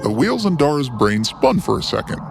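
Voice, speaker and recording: A man narrating a scripted fantasy story in a theatrical, whimsical way with deliberate pauses. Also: mid-range voice, big pitch swings, gravelly, over music.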